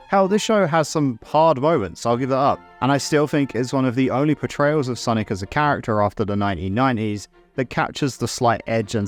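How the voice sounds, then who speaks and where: A man with an average-pitched voice narrates a scripted video essay. He is wry and opinionated and drops in casual asides, while music plays behind him. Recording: music under the voice. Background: background music.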